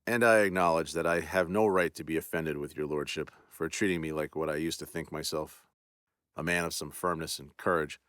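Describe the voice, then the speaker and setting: A man's voice: rumbling low, steady tone, fairly quick, fairly bright tone, clear, resonant tone, dry recording, clean studio sound. A man doing a shaken, peevish old general. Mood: subdued. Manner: stiff, formal old-fashioned speech.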